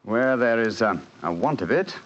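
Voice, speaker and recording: A man acting a brilliant, self-assured English detective in a 1940s radio drama, lecturing crisply and logically in a British accent. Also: mid-range voice, expressive, moderate pace, natural voice. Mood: insistent reasoning. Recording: dull, hissy 1940s radio recording.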